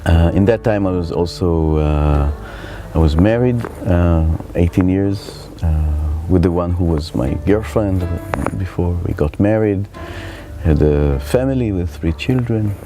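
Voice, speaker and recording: A middle-aged man from Israel with an Israeli accent, telling his life story in a reflective, halting way as he searches for words. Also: low voice, music in the background. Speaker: spiritual teacher. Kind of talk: personal life story told to camera.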